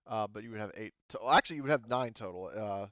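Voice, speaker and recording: A man acting as game master, casually explaining a tabletop roleplaying game in a halting way. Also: fairly low pitch, a bit bright, no echo, dull audio.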